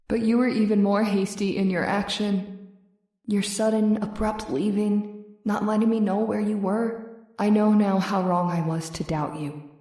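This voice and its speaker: A devoted young sweetheart played by a woman reads her letter in an earnest, tender radio-drama style. Her voice is soft-edged and sits back from the mic in an echoing room. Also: mid-range voice, fairly even intonation, measured pace, lightly acted. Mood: tender, wistful. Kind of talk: old-time radio drama acting, letter read aloud. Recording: roomy, distant from the mic.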